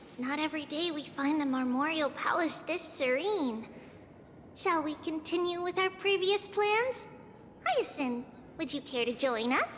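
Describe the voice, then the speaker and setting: Very high-pitched, serene little girl. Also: expressive, unhurried, slight background noise. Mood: serene, inviting. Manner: speaks of herself as "we", gently composed. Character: serene little girl, once a grown woman, now divine child. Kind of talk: scripted game voice acting. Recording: loud background music.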